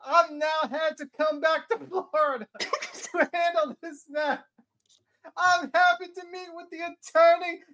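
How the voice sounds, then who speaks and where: A woman playing a flustered, indignant litigant who pleads her case in a halting, repetitive way in a dramatic reading of a court hearing. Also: extremely high pitch, steady tone, a bit bright, slight background noise, exaggerated voice. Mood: flustered, aggrieved.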